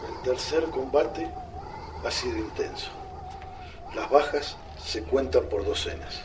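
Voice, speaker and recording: A man's voice: mid-range voice, takes it slowly, natural voice. An actor playing a grave man announcing battle tidings in a radio drama. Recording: distant mic, clear background noise.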